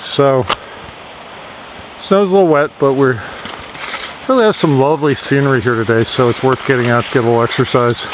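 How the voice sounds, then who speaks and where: A man narrating his cross-country ski outing outdoors, chatty and relaxed as he admires the snowy scenery, recorded on a handheld camera mic. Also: mid-range voice. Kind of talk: casual outdoor vlog narration. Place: snowy forest and mountaintop outdoors. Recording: wind noise on camera mic. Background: wind and flowing water.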